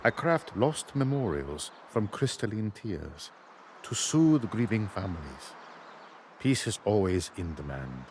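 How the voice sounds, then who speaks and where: An actor playing a weary, wry gravedigger in a video game, musing in a sly, dramatic way. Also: male voice, lowish voice, very animated, slow, a little mellow, a little hiss or hum, full character voice. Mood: wry, matter-of-fact. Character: weary, wry gravedigger turned memorial crafter. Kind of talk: video game character dialogue.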